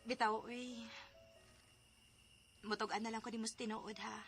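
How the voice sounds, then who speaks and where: An actress playing a coy, sharp-tongued young working woman with a Cebuano Filipino accent in a radio drama. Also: fairly high pitch, flat delivery, a little slow, faint music behind. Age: young adult.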